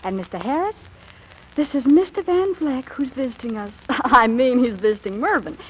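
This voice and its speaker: Teenage American girl, radio comedy: high, swooping voice, giggly, affected, excitable. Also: normal speed. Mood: flustered, giddy. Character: excitable 15-year-old girl putting on grown-up airs. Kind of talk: 1940s radio comedy acting. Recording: muffled, noisy old radio recording.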